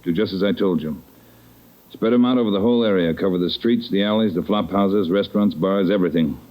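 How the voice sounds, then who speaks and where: An actor playing a stern police captain in a 1940s radio crime drama, giving curt, authoritative orders. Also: male voice, low voice, fast, a little mellow, lightly acted. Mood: stern, urgent. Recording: dull old radio recording.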